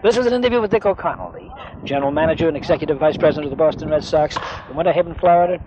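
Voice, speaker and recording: A man's voice: on the high side, very animated, racing, highs cut off. A man hosting a sports radio interview from around 1970 in a formal, courteous announcer manner, on a noisy old recording. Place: on location at a spring training base.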